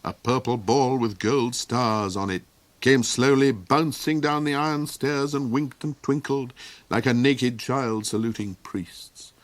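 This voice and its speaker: A man narrating a fairy tale in an audiobook, with a theatrical, unhurried storytelling manner. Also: medium pitch, steady tone, no room sound. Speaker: reader.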